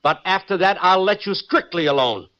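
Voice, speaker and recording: On a dull vintage radio recording, an irritated nightclub manager. The voice is fairly high, brusque and emphatic, stern and thoroughly fed up. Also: male voice, animated, normal speed, bright, forceful tone. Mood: indignant. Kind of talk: 1940s-50s radio suspense acting.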